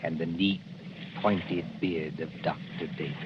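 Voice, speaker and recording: An actor playing an ominous storyteller, narrating a 1940s radio mystery in a dramatic, literary manner. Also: male voice, low-pitched, steady tone, measured pace, heavy background noise. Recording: muffled 1940s radio recording. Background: music.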